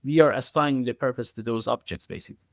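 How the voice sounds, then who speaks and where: A man's voice: medium pitch, fast, dry recording. A man speaking as an expert podcast guest, explaining technical ideas in a hesitant, circling way with frequent self-corrections.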